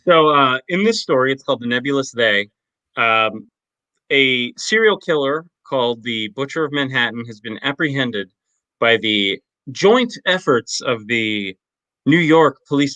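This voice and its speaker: A man's voice: medium pitch, sharp tone, dry recording, poor audio. A man, an author guest in an interview, describing his story and chatting casually.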